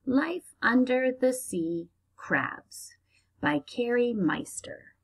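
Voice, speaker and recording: An American woman teacher reading aloud to young children and explaining as she goes, in a deliberate, expressive manner. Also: on the high side, husky. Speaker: elementary school teacher reading aloud to pupils.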